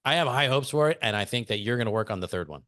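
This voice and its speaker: A man's voice: mid-range voice, lively intonation, very fast, a bit bright, dry recording, clean studio sound. A man hosting a podcast interview, easygoing and laughing often as he steers the conversation with questions. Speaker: podcast host.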